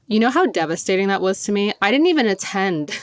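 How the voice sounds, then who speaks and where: A woman's voice: mid-range voice, sing-song, fast, no echo. A young woman hosting her own podcast, chatting casually and gushing, with lots of "like". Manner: chatty and gushing, heavy use of "like". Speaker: podcast host. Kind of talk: casual solo podcast chat.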